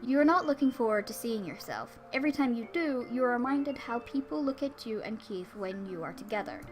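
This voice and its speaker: A woman with a fairly high voice hosts a let's play of a visual novel. She reads the narration aloud and slips into casual, chatty asides. Music plays softly behind her. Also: lively intonation, fairly quick. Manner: informal asides between read passages.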